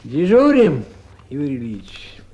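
A man's voice: a little high, huge pitch swings, slow pace, a little mellow, exaggerated voice. An actor playing a chatty, good-natured, obliging watchman in a radio drama, with colloquial, repetitive phrasing. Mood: chatty, knowing. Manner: chatty, colloquial, self-repeating. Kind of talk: 1970s Soviet radio drama acting. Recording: dull, muffled old radio recording.

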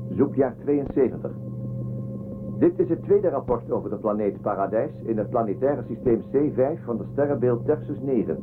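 Actor as a dull-sounding, declamatory space commander. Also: male voice, medium pitch, lively intonation, moderate pace, natural voice. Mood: solemn, official. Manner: declamatory, official. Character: formal, authoritative space commander giving a recorded report. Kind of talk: science fiction radio drama, formal report. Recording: dull radio-like recording with music.

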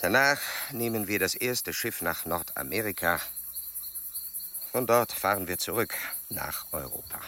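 Proud meticulous explorer type, radio play, formal n precise, pitch swings a lot, bit of background noise. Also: male voice, animated, bright, forceful tone, full character voice. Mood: resolved. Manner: formal, precise, measured diction. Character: Humboldt, earnest, proud, meticulous male German explorer-scientist. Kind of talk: radio drama dialogue.

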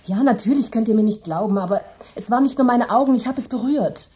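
Female actor as fussy hostess, fretful, scolding, fairly high voice, radio play. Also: fast, dark tone, lightly acted. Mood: frightened. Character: fussy hostess, woman, middle-aged, house-proud.

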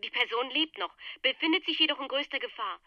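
High-voiced, brisk, formal official. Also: female voice, expressive, bright, forceful tone, no room sound, heavily acted. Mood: persuasive. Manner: official, polite, persuasive. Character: smooth-talking, formal female aid official running a scam. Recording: dry, dull telephone sound.

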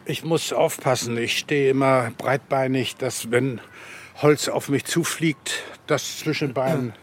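A simple male woodcutter played by an actor talks slowly over faint ambient noise. He is halting and unconcerned, dodging and drifting in the style of a satirical radio documentary. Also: mid-range voice, fairly even intonation, close to an everyday voice. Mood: rambling. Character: slow, unconcerned man busy chopping firewood. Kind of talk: mock-documentary radio drama.

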